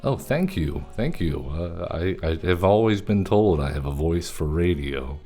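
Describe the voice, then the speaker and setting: A man's voice: low-pitched, expressive, a little slow, mellow, faint music behind. A man streaming a card game and chatting easily with viewers as he plays. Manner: easygoing, chatty, thanks viewers. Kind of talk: casual gameplay commentary.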